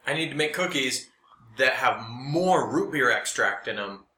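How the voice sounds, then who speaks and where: Guy hosting a podcast, bright voice, kinda wry and jokey, roomy echo. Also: mid-range voice, animated, a little slow. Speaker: podcast host. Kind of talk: casual chat.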